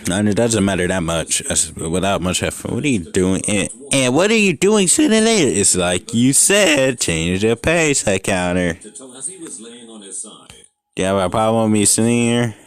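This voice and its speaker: A man's voice: on the low side, animated, moderate pace. A young man casually reading a fan story aloud, stumbling over lines and stopping to joke and swear. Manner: reads unevenly, breaks off to joke and swear. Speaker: fanfic reader. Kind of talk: casual fanfic reading with commentary.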